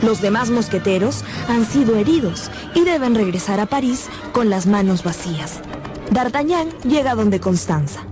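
A woman's voice: a little high, lively intonation, moderate pace, over music. A woman narrator delivering scripted storytelling narration for a radio drama.

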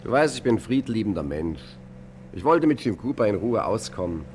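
A man playing a cocky, offhand gentleman gangster who justifies himself with mock innocence in a satirical 1950s radio crime play. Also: on the low side, big pitch swings, a little fast. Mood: mock-innocent. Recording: loud engine hum behind. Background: car engine hum.

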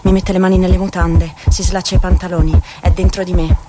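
A woman's voice: lowish voice, little pitch movement, fairly quick, lightly acted. An actress playing a naive, broke young mother-to-be, dreamy and stubborn, in a theatrical monologue of short clipped phrases. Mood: numb, intimate. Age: young adult. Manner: recounting in short present-tense phrases. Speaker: stage actress. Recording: music under the voice. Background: stage music.

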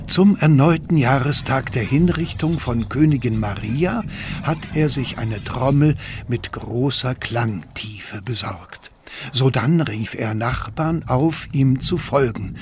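An actor playing a reluctant, deferential landlord who composes a formal letter to the prefect in a radio play. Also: male voice, medium pitch, darkish tone, a little hiss or hum, natural voice. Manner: formal, apologetic, circumspect.

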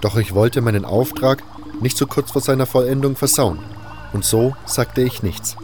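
A man's voice: medium pitch, moderate pace, over music. A man reading scripted first-person narration for a fantasy audio drama.

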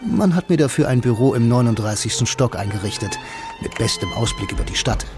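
Radio drama narration over clear music: a sardonic, self-assured blind bank employee played by a male actor. He confides in the listener with dry irony. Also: medium pitch, animated, fairly quick, lightly acted. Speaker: first-person narrator and lead actor.

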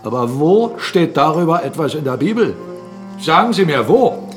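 An actor playing a blunt, argumentative captain and mill owner in a German radio drama, speaking emphatically. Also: male voice, a little high, big pitch swings, moderate pace, faint music behind. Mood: defiant, heated. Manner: argumentative, emphatic, rhetorical questions. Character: blunt, defiant male mill owner and captain, critical of church.

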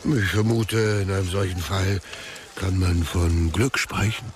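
A man playing the gruff, plain-spoken boss of a rough eatery in a fantasy radio drama. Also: deep voice, unhurried. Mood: gruff, appreciative.

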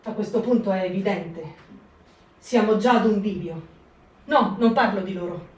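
A woman's voice: mid-range voice, normal speed, close to an everyday voice. A woman playing an anxious partisan helper. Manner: reflective, anxious monologue. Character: frightened woman helping wartime partisans. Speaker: actor in a radio reading. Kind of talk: stage reading for radio. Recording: echoey room, distant mic.

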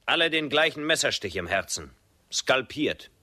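A man's voice: medium pitch, sing-song, moderate pace, sharp tone, dry recording, heavily acted. A male actor playing a melancholy, brooding frontier henchman with a conscience in a German radio drama. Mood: uneasy, reporting.

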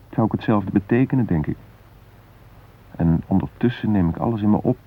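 An actor playing a frightened hostage father in a radio play, musing in a halting, wry, vulnerable way. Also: on the low side, breathy edge. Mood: wry, afraid. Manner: reflective, halting, wryly self-mocking. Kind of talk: radio drama interior monologue and dialogue. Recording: muffled phone-like band.

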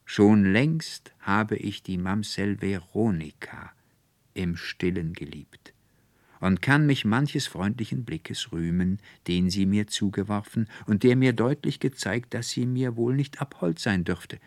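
A man's voice: fairly low pitch, measured pace, a little mellow, no echo, pitched above the performer's own voice. A man voicing a solemn, self-important official in a storybook reading, speaking with ceremonious, pompous formality. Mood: earnest, pompous.

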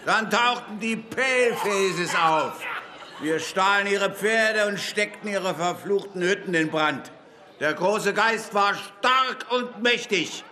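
A man's voice: sits high, takes it slowly, pushed and bright, exaggerated voice. An actor playing a proud, bitter Comanche warrior who tells his story in solemn, defiant oratory in a German radio play. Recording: music bed behind the voice.

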